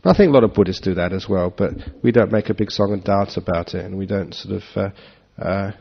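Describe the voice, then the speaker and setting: A man giving a relaxed Dharma talk to an audience, joking and chuckling as he answers questions. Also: deep voice, fairly quick, vocal fry, slightly rough. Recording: dull lo-fi talk recording.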